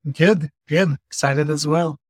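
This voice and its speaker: A man's voice: a little high, slow pace, husky, dry recording, clean studio sound. A man from Israel, a company founder, explaining his work earnestly with many "uh" fillers over a remote call. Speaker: company CEO guest. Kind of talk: podcast interview.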